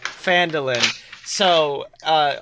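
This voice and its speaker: A man hosting a podcast in casual chat, talking in an excitable, slangy, sweary way. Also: fairly high pitch, drawn-out words, background noise. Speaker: podcast host.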